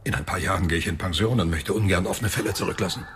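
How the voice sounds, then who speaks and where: An actor playing an older police inspector near retirement, official and reserved, in a radio drama. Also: male voice, low voice, racing. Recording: clear noise with police sirens.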